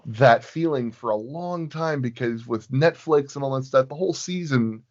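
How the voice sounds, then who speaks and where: A man chatting casually as an interview guest, halting and often circling back to rephrase his thoughts. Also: medium pitch, dull audio. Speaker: podcast guest, sound designer.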